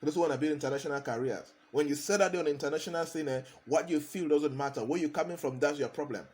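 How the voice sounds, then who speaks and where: A man's voice: on the high side, fast talker, darkish tone. A man, a consultant giving a motivational talk, hammering points home by repeating key phrases. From Cameroon.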